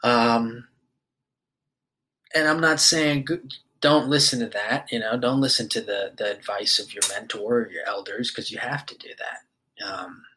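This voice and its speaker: A young man in his twenties talks straight to his listeners in a loose, rambling monologue. He swears freely and fills his pauses with "you know" and "um". His voice sits at a middling pitch. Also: sharp tone, slight background noise, rough recording. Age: 20s. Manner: loose and rambling, swears often, many fillers. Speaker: podcast host. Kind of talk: casual monologue.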